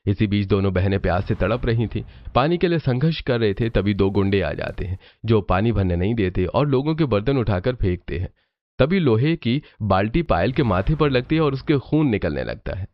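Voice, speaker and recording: A man's voice: fairly low pitch, animated, moderate pace, no echo. Male cartoon story narrator, slightly muffled.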